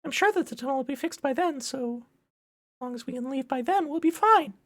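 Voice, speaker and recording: A woman playing a timid, high-voiced schoolgirl. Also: animated, a little fast. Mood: hesitant. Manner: hesitant, nervous, polite. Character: timid, naive, polite schoolgirl.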